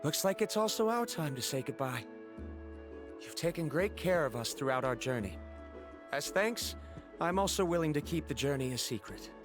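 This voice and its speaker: An actor playing an earnest, awkward scholar in a video game, speaking formally and a little hesitantly. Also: male voice, on the high side, animated, normal speed, music in the background, natural voice. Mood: resolved, awkward.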